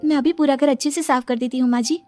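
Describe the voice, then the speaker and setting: A woman's voice: high-pitched, steady tone, fast talker, warm tone, faint music behind, close to an everyday voice. A woman voicing a meek, dutiful young daughter-in-law in a cartoon family story. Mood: obedient.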